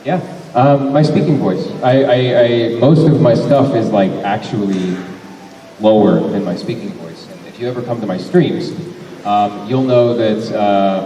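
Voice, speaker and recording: A man's voice: lowish voice, dark tone. A young man and voice actor answering fan questions at a convention panel, talking in a rambling way and correcting himself often. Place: large convention hall. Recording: echoey hall, mic from far off, faint noise.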